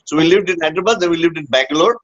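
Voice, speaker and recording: Guy telling stories, lots of uhs and huhs, kinda high voice, muffled lo-fi sound. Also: racing. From India. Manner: rambling, many "uh" fillers and tag "huh?". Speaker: guest, leadership coach. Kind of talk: casual storytelling interview. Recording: dull lo-fi audio.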